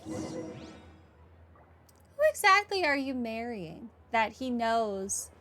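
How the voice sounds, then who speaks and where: A woman's voice: on the high side, sing-song, slow, bright, forceful tone, a little hiss or hum. A woman giving casual, offhand commentary on a game playthrough, with wry asides. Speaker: gamer recording a playthrough.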